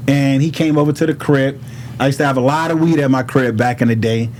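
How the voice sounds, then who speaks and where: A man's voice: medium pitch, brisk, a little hiss or hum. A man from Harlem with a New York accent, telling stories casually in street slang with plenty of swearing. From New York City (Harlem). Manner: animated street slang, profane, quotes dialogue. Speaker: podcast guest, rapper. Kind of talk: casual storytelling in conversation.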